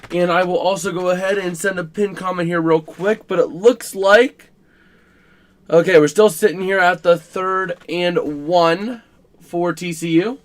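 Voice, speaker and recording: A man hosting a casual live stream about a college football game, his voice scratchy and rough, chatting to viewers with plenty of fillers. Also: high-pitched. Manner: chatty, filler-heavy, talks to chat while working the stream.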